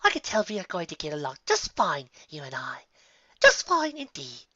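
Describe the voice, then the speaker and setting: A man's voice: sits high, huge pitch swings, normal speed, sharp tone, no room sound, highs cut off, pitched above the performer's own voice, full character voice. A man voicing a refined, gushing female fashionista pony in a storybook reading, with theatrical, genteel delivery. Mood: delighted. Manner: theatrical, genteel, fussy endearments.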